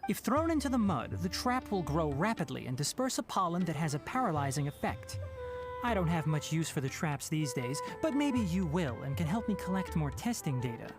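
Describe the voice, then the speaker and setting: An actor playing a calm young forest ranger in a game, level and gently teasing. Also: male voice, fairly high pitch, a little fast. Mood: dry. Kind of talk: game dialogue. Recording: game audio with loud music behind.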